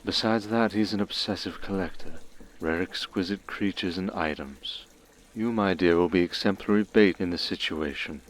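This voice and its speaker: A scheming vampire lord acted by a man speaks in a very deep register. He is commanding and suave, with a smug, teasing edge and measured formal phrasing, in the style of an intimate ASMR roleplay. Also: lightly acted, poor audio. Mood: calmly scheming.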